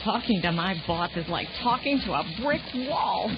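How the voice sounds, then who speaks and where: An actress playing a frustrated, determined reporter in a children's audio drama, exasperated and emphatic. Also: female voice, mid-range voice, expressive, normal speed, over music, lightly acted. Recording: dull lo-fi audio.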